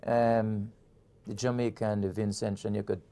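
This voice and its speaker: A man's voice: lowish voice, flat delivery, moderate pace, dark tone. A man, a poet from Grenada, talks through his work in an interview, often repeating words and restarting before he lands a point. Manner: explains with frequent repetitions and restarts. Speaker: poet and author. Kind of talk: conversational interview.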